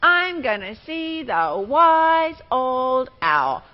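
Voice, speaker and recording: A woman voicing a fed-up storybook frog, complaining in a drawn-out, exaggerated way. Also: sits high, sing-song, muffled recording, pitched above the performer's own voice, full character voice. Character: frog fed up with its noisy pond.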